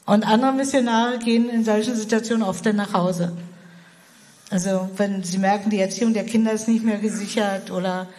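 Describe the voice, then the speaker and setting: A woman's voice: medium pitch, fairly even intonation, a little fast, a little mellow, long reverb tail. A German woman, a missionary, answering interview questions in a hesitant way, with many fillers and restarts. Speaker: missionary guest. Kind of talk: interview conversation.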